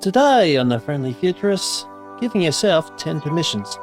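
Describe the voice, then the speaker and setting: A man's voice: on the high side, very animated, normal speed. A man hosting a podcast who introduces his guest in a chatty, rambling, enthusiastic way. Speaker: podcast host. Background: music.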